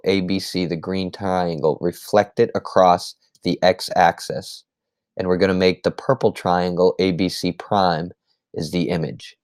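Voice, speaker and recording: A man teaching a math lesson recap, explaining step by step in a patient way, his voice slightly hoarse. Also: sits low, darkish tone, dry recording, studio quality. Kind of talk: screen-recorded lesson recap.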